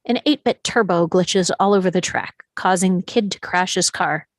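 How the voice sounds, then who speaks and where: A woman's voice: on the low side, moderate pace, dry recording. A woman reading scene directions as the narrator of a live script table read.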